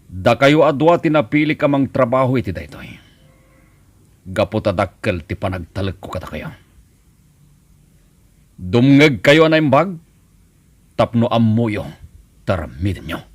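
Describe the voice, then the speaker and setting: A man playing a calculating, commanding crime boss in an Ilocano drama, husky-voiced, deliberate and authoritative. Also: medium pitch, big pitch swings, no room sound. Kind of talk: Ilocano radio-style drama acting.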